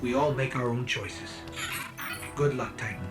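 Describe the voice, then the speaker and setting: A man's voice: medium pitch, measured pace, natural voice. A man voicing a wry, encouraging robotic companion spirit in a video game, conversational and earnest. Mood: gentle, encouraging. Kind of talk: cinematic video game voice acting. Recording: music behind the voice.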